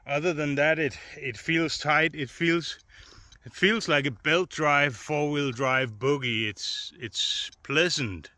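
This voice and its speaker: A man's voice: a little high, slow, pushed and bright. A man giving a casual voice-over review as a hobbyist, rambling along hesitantly and often repeating words.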